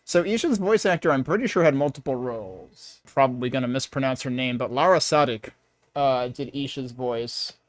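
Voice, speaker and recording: A man's voice: medium pitch, moderate pace, dry recording. A man giving casual, rambling playthrough commentary as he thinks aloud. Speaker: game streamer.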